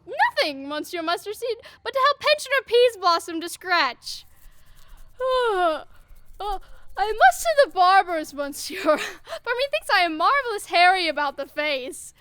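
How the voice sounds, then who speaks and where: A woman's voice: very high-pitched, sing-song, unhurried, sharp tone, dry recording, exaggerated voice. A woman playing a silly, self-important male weaver with a donkey's head in a Shakespearean audio drama, hesitating as he orders others about. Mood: lordly, fussy.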